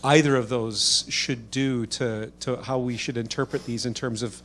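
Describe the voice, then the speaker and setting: A man's voice: medium pitch, steady tone, normal speed, fairly bright tone, slight background noise. A man speaking as a scientist in a conference question session, thinking aloud tentatively and breaking off sentences. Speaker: scientist asking questions from audience.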